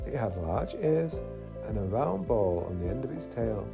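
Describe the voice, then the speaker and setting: A man narrating a children's bedtime story in an expressive storytelling manner. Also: fairly low pitch, moderate pace, gravelly. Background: faint music.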